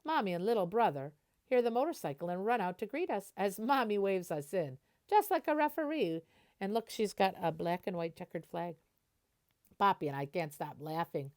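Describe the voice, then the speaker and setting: A woman reading a children's picture book aloud in an animated way, stopping to explain and translate as she goes. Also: medium pitch, normal speed.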